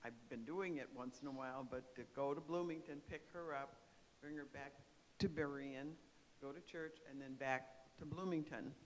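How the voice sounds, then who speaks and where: A man's voice: a little high, normal speed. Hesitant man asking from the audience, hissy hall. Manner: hesitant, searching for words. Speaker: audience member asking a question. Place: church meeting hall. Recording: echoey hall with faint hiss.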